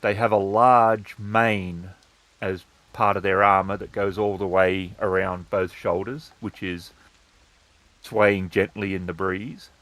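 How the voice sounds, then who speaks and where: A man narrating a tabletop roleplaying game as game master, in a halting, measured way. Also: low voice, flat delivery, fairly bright tone, dry recording.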